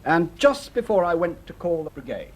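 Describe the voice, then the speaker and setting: Husky, unruffled British suspect. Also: male voice, on the high side, very animated, moderate pace. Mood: casually assured. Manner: suave, casually polite. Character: smooth, unruffled male suspect with an answer ready. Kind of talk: classic British radio drama acting.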